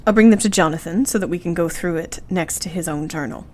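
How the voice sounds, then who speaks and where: An actress playing an earnest, tender young Victorian wife in a radio play, with formal period diction. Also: female voice, lowish voice, fast, darkish tone, close to an everyday voice. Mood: gentle, sympathetic.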